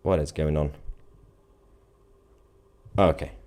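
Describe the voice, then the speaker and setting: A man's voice: a bass voice, steady tone, takes it slowly, warm tone. A man hosting a live stream in an informal, off-the-cuff way, reading out viewer comments and giving casual commentary.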